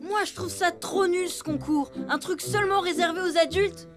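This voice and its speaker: A woman's voice: very high-pitched, moderate pace, over music. A woman voicing a cheeky, skeptical elephant boy in a children's cartoon, blunt and slangy. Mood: scornful.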